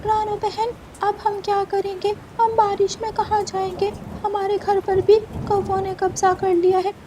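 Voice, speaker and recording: A woman's voice: very high voice, completely flat delivery, a little slow, background noise, voice pushed up. A woman voicing a naive, worried little parrot with a plaintive manner in a children's cartoon. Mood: worried, pleading. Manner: plaintive, fretful. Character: naive, worried married parrot couple member, small bird.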